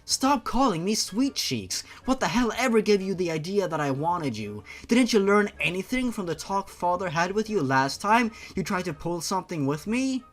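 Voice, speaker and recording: A man voicing a blunt, sharp-tongued young woman in a dramatized fan fiction reading. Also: high voice, sing-song, normal speed, few pauses, pitched above the performer's own voice, heavily acted. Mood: exasperated, scolding. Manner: exasperated, blunt. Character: sharp-tongued, irritated young woman, fiercely loyal girlfriend.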